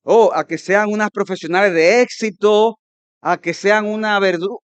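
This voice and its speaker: A man with a Dominican Spanish accent preaching a conference sermon, emphatic and rising to loud peaks, mimicking his listeners' objections. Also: high voice, fairly even intonation, normal speed, clear, resonant tone, dry recording. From Dominican Republic. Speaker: pastor.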